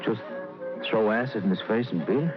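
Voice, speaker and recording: An actor playing an insecure middle-aged gangster lackey, hesitant and eager to please, in 1950s radio drama style. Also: male voice, on the low side, animated, a little fast, natural voice. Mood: nervous, hesitant. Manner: hesitant, stammering. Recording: dull old radio recording with music.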